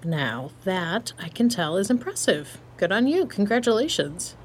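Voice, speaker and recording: A woman's voice: mid-range voice, sing-song, a little slow, a little hiss or hum. A woman playing a laid-back, blunt young hardware tech in an audio drama, casual and slangy with dry humor. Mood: wry, teasing. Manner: casual, slangy, dryly joking. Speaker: voice actor. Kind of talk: naturalistic audio drama dialogue.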